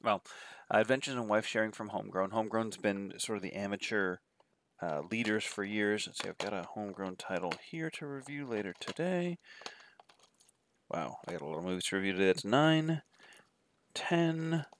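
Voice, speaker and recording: A man's voice: fairly low pitch, expressive, moderate pace. Nasal man with a cold. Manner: rambling, filler-heavy listing of titles. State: has a cold. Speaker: adult film reviewer. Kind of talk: casual spoken review.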